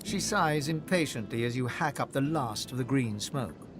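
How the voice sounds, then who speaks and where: A man's voice: medium pitch, animated, normal speed, natural voice. Man as a dramatic fantasy storyteller over music. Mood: grave, descriptive. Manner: literary, dramatic. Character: solemn male storyteller narrating a dark fantasy. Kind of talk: scripted second-person game narration. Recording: music behind the narration.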